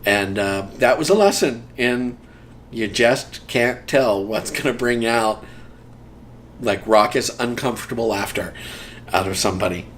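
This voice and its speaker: A man's voice: mid-range voice, unhurried, a bit bright, slight background noise. A man in a casual interview, reminiscing about community theater, rambling with frequent ums and dry humor.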